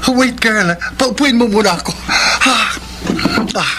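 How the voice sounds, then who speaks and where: A man's voice: extremely high pitch, measured pace, background noise. Campy, effeminate young Filipino man. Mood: breezy, campy. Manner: campy, girlish, teasing. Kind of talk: radio drama acting.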